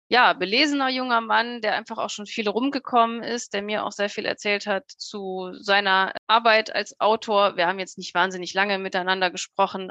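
A woman with a bright, forward voice talks as an interviewee in a conversational podcast. Her account is casual and unscripted, recorded dry with no room sound. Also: mid-range voice, no room sound.

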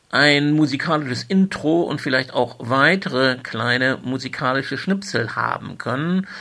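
A man's voice: mid-range voice, vocal fry, pushed and bright. A man hosting a podcast, speaking conversationally as interviewer and announcer, in chatty, wordy phrasing.

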